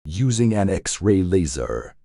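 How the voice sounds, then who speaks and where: A man's voice: low voice, big pitch swings, takes it slowly, mellow, dry recording, studio quality. A man reading scripted practice passages aloud in a test-preparation lesson.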